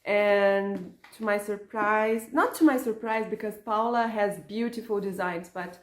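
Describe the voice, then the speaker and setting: A Portuguese-accented woman whose first language is Portuguese talks slowly and casually about her knitting. She often stops and restarts words while reaching for the English. Her voice has a roomy echo around it. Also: medium pitch. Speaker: knitting podcaster and yarn shop owner. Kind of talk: casual craft vlog chat.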